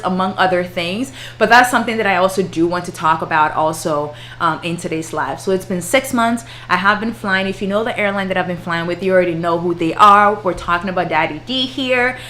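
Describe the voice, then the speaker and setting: A woman's voice: mid-range voice, sharp tone, a little hiss or hum. A woman, chatting casually with viewers on a livestream and laughing often. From Ghana. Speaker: flight attendant and YouTuber.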